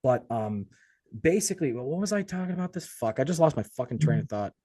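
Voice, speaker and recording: Male podcast interviewer, mid-pitched, dry close sound. Casual, rambling, swears freely. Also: expressive, fast talker, dark tone, dry recording. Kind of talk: casual chat.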